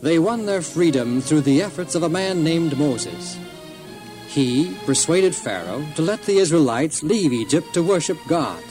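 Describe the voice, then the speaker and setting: A man's voice: on the high side, animated, measured pace, music in the background, low-quality recording. A man narrating a scripted children's Bible story in a solemn, dramatic storytelling manner.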